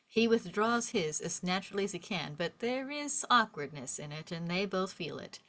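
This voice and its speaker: A woman's voice: lowish voice, lively intonation, moderate pace, a bit bright. A woman narrator reading stage directions aloud at an informal table read of a film script.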